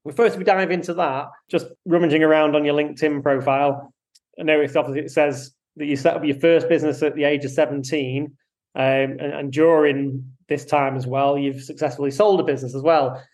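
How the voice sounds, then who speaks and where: Male host, slightly higher voice, friendly and halting with restarts. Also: fairly even intonation, a little mellow. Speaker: podcast host. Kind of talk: interview hosting.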